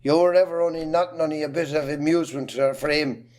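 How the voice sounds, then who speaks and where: Man acting bitter Irish husband, raised pitch. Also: steady tone, fast, a little mellow, close to an everyday voice. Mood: bitter, stubborn. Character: stubborn, bitter, bad-tempered Irish husband. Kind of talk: amateur stage drama acting.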